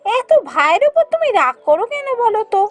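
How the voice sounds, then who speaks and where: Woman acting as young village wife, cartoon peacemaker, sweet pleading manner, very high voice, soft music behind. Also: sing-song, fairly quick. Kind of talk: cartoon fairy tale dialogue.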